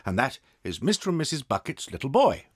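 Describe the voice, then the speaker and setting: A man narrating a dramatised children's story in an expressive storytelling way. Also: medium pitch, fairly quick, slightly rough, no echo, clean studio sound.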